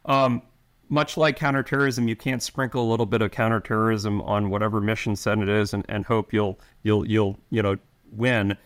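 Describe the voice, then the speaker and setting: Halting, low-voiced intelligence officer man. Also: steady tone. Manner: halting, many restarts and fillers. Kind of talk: interview answers.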